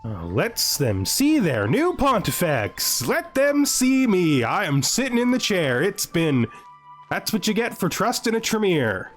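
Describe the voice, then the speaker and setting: A man's voice: sits high, wildly swooping pitch, a little slow, faint music behind. A man hosting a gaming video, thinking aloud in casual commentary and playfully gloating with in-character asides.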